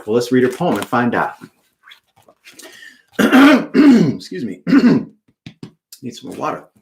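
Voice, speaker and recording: Male author-host, mid-pitched voice, casual with listeners and emphatic when performing verse. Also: huge pitch swings, slow pace, vocal fry. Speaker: children's author hosting a live read-aloud. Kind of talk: casual chat and expressive poetry reading.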